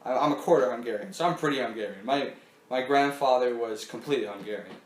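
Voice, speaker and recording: A man's voice: medium pitch, quick pace, a little hiss or hum. A young man hosting a casual podcast chat, rambling with many fillers and restarts. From Canada. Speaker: podcast host. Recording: echoey room.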